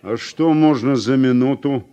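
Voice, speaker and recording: An actor playing a stubborn, blunt older harvester driver in a 1980s radio drama. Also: male voice, medium pitch, measured pace, dark tone, clean tone, muffled recording, lightly acted. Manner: blunt, reproachful.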